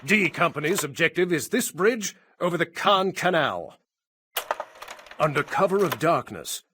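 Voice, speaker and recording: A British wartime officer from a video game, briefing troops with clipped authority. His voice sits a little high, over light background noise. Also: a bit bright. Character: brisk male British army officer briefing paratroopers. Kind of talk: military mission briefing.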